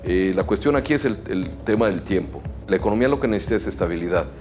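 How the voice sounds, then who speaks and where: A middle-aged man from Mexico with a Mexican Spanish accent, answering interview questions in a measured way with frequent hesitations. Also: sits low, lively intonation, darkish tone, faint music behind. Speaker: chamber of commerce president.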